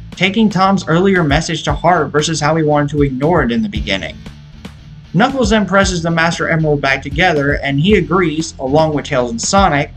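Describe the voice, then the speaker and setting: A man's voice: fairly high pitch, fairly bright tone. A man delivering a scripted film review as an earnest, opinionated fan, speaking as a video essayist. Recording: music playing behind the voice. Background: guitar rock music.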